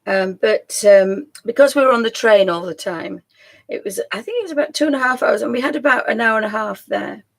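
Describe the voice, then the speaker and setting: Croaky, gravelly woman chatting on stream. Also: on the high side, brisk. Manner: chatty, rambling, often laughing and trailing off. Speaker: live-stream host. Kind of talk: casual live-stream chat.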